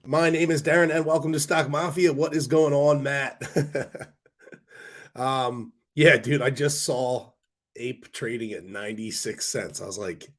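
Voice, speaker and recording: A man hosting a casual stock-market livestream, hoarse from a cold, rambling with fillers and restarts while answering viewer comments. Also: mid-range voice, steady tone, measured pace. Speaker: stock stream host.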